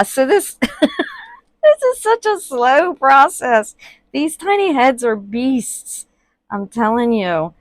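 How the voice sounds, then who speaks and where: A woman chatting casually while working at a table, with many fillers, softly murmuring and sniffling a little from allergies. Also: medium pitch, no echo. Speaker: reborn doll artist. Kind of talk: casual crafting tutorial vlog. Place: indoors at a worktable.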